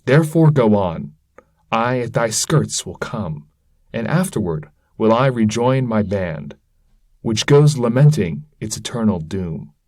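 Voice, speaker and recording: A man's voice: fairly low pitch, sing-song, unhurried, a little mellow, no echo. A man voicing a damned scholar's spirit, a fatherly, bitter old mentor who speaks in grave, archaic oratory within a poetry reading. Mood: mournful.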